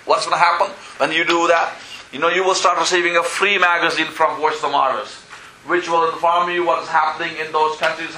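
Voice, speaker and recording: A man's voice: fairly high pitch, sharp tone, slight background noise, rough recording. A man from Pakistan, preaching a sermon in church, earnest and full of "you know" and calls of "Amen?". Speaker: pastor.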